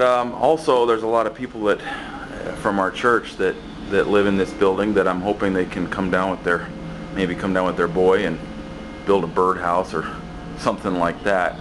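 A man with a very low voice talks loosely as he walks a handheld camera around a cluttered basement workshop. He backtracks and fills pauses with "uh" and "um", easygoing and unscripted. A steady hum of air conditioning sits clearly behind him in the noisy basement. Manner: rambling, relaxed, many fillers and restarts. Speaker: building maintenance worker giving a shop tour. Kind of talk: casual walkaround tour. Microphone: handheld camera mic, speaker off screen.

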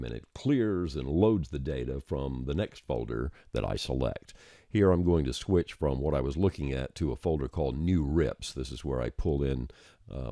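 A man narrating an instructional software screencast in a plain-spoken, explanatory manner. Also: a bass voice, dry recording, clean studio sound.

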